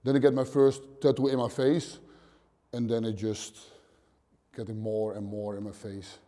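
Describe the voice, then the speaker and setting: Thirties Dutch man, gym owner, blunt halting interview talk, mellow dark voice, dim gym. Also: lowish voice, flat delivery. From Netherlands. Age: 30s. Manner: plain, blunt, swears casually, short halting phrases. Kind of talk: casual interview answers.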